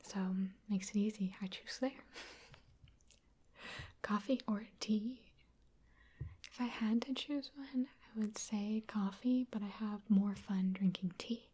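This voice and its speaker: A woman from Wisconsin, an ASMR creator, answering viewer questions in a soft, chatty, self-deprecating whisper. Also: mid-range voice, slow pace, pauses often, husky. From Wisconsin, USA.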